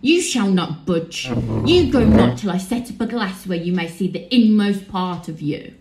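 A bitter, defiant young prince of Shakespearean tragedy, played by a woman. The accusing verse comes in a medium-pitched voice, ringing in an echoey room. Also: expressive, normal speed, a little mellow, lightly acted. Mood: accusing, forceful. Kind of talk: Shakespearean tragedy, audio drama.

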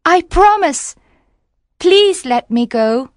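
A woman voicing a frightened young mermaid princess who pleads in a raised, high voice. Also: animated, slow, no echo, studio quality, exaggerated voice. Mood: desperate.

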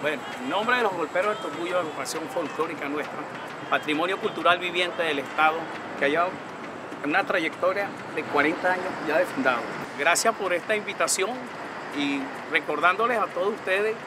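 A man's voice: a little high, brisk, heavy background noise. An older Venezuelan man from a folk music group, speaking formally outdoors. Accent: Venezuelan, from Lara. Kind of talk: formal speech.

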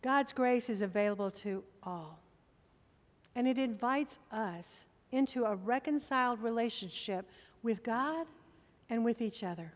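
A middle-aged woman pastor preaching a sermon from the pulpit of a church, with slow, emphatic appeals to the congregation. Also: fairly high pitch, expressive, big-room sound.

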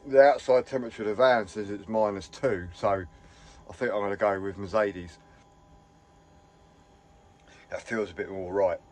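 A man's voice: lowish voice, fairly even intonation, fairly quick, a little mellow. Chatty man in a camper van. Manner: rambling, chatty, enthusiastic. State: slightly hoarse. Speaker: vlogger. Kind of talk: casual vlog chat.

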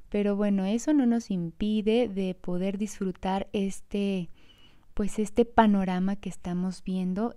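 A woman's voice: medium pitch, warm tone. A Mexican woman casually narrating a travel vlog from a café terrace by an airport, talking straight to her viewers and fond of little diminutives. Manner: chatty, addresses viewers directly, fond of diminutives. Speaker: vlogger.

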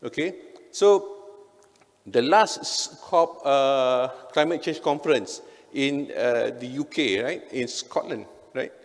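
A man's voice: medium pitch, takes it slowly. A middle-aged Malaysian-accented man presents at a conference in an informal, conversational way. His mid-range voice often adds short check-in tags. The sound is that of a stage microphone in a reverberant hall. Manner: conversational, frequent "right?" and "okay" tags. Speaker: conference speaker, engineer. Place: indoor hotel event hall on stage.

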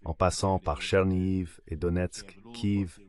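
A synthetic male voice with a low pitch reads a translated interview. It serves as the dubbed voice of a guest, delivering the answers as scripted voice-over. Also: steady tone, takes it slowly, darkish tone.

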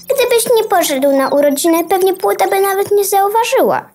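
A woman's voice: very high voice, normal speed, darkish tone, slight background noise. A woman playing a curious, frank young girl in a children's audio drama, with a childlike, questioning way of talking.

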